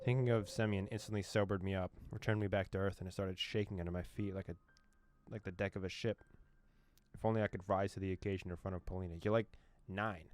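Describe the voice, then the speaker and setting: Low-voiced man reading game text aloud. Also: steady tone, fast talker, a little mellow, a little hiss or hum. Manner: reads dialogue aloud, breaks off with joking asides and laughs. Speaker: gaming streamer. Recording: faint game music behind.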